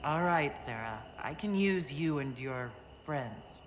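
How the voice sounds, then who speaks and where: A voice actor playing the protagonist leader, who speaks in a measured, questioning way. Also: mid-range voice, lively intonation, background noise. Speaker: player protagonist, the Herald.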